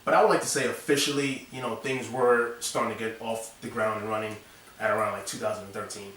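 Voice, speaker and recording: A man's voice: lowish voice, quick pace. A man talking casually as an interview guest, rambling with lots of fillers. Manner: relaxed, rambling, many fillers. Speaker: interview guest, design studio owner. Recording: echoey room, distant mic, faint noise.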